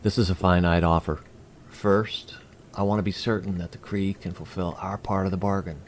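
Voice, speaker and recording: A man playing a courteous alien envoy in an audio drama, speaking formally and deliberately with precise wording. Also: sits low, a little mellow, a little hiss or hum. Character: courteous, measured male alien envoy from Kree. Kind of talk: scripted audio drama acting.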